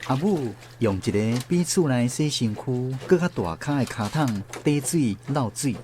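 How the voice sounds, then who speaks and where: A man's voice: mid-range voice, animated, measured pace, noisy background, natural voice. A man playing a grown child looking back fondly, narrating a literary radio drama with a Taiwanese accent. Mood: tender admiration. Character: grown child fondly remembering a hardworking mother. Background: soft guitar music.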